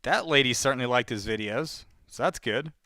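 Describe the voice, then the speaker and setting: A man's voice: mid-range voice, a little fast, bright, forceful tone, no room sound. A man hosting a live calling session, talking informally and in a rambling way to his viewers between sales calls. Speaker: live sales-call host, real estate cold caller. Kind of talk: casual live-stream chat between phone calls.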